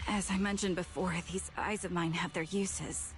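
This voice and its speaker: An actress voicing a calm, solemn young woman in a video game, speaking tersely. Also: lowish voice, normal speed, over music, natural voice. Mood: subdued. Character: calm young woman with troubled, useful eyes. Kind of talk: game voice acting.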